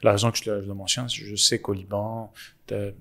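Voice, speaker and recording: Deep-voiced male host, halting questions. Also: little pitch movement, creaky, fairly bright tone. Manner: halting, restarting questions with many fillers. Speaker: podcast host. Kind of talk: interview questions.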